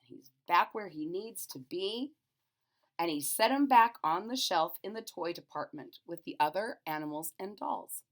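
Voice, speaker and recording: Female children's storyteller: expressive, animated intonation, unhurried pace, simple explanatory asides for young listeners. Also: fairly low pitch.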